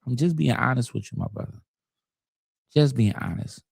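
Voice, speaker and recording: A man riffs in loose, slangy commentary, circling back and repeating himself for emphasis. His voice is gravelly and dark in tone, and the sound is dry and close. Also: mid-range voice, moderate pace, no room sound. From United States. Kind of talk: casual commentary.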